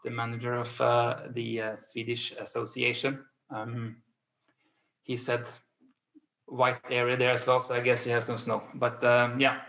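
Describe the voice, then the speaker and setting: An echoey video-call recording of a man with a Scandinavian accent. His voice is gravelly with plenty of fry. He hosts informally, feeling his way haltingly from phrase to phrase. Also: medium pitch, completely flat delivery, fairly bright tone. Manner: halting, hesitant delivery with repeated phrases. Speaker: host and business manager. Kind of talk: informal webinar introduction.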